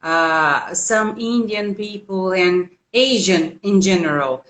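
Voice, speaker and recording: A woman's voice: medium pitch, takes it slowly, a bit bright. A woman with a Brazilian Portuguese accent, a native Portuguese speaker, hosting a casual interview. She laughs often and halts with fillers. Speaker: host, English teacher. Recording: echoey room.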